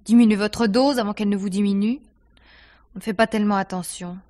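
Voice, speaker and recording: A female actor plays a theatre actress suspected in a radio murder mystery. Her voice sits at a middle pitch. She answers with self-possession and a dry, sardonic edge, in a theatrical 1950s manner. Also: steady tone, a little fast, warm tone, natural voice. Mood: reflective, frank.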